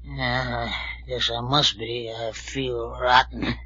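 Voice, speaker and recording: An actor playing a surly, bewildered, hungover drunk in an old-time radio mystery, with slangy, hesitant, grumbling speech. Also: male voice, mid-range voice, flat delivery, takes it slowly, pushed and bright, breathy edge, highs cut off, heavily acted. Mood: shaky, miserable.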